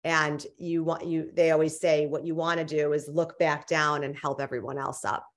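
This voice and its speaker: Low-voiced young woman, earnest and halting. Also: flat delivery. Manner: earnest, searching for words, many restarts. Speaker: guest author. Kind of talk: casual interview answers. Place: home living room indoors. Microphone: video call webcam.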